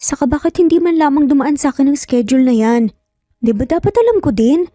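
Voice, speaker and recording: Woman acting a mellow, proper young secretary. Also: sits high, lively intonation, quick pace, no room sound, studio quality, soft background music, pitched above the performer's own voice. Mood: puzzled. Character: modest, proper young secretary and mother, mildly flustered. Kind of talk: dramatised storytelling.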